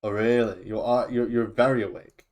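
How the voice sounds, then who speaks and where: A man's voice: lowish voice, steady tone, normal speed, darkish tone. A young man hosting a casual podcast, chatty, laughing often and tripping over his words. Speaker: podcast host. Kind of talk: casual chat.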